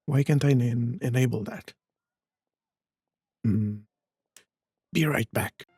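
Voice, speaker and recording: A man streams a video game and comments casually as he goes. His voice is mellow and a little husky, with low-key muttered asides. It sounds dry and close, with faint music behind it. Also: slow, no echo. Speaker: gaming streamer.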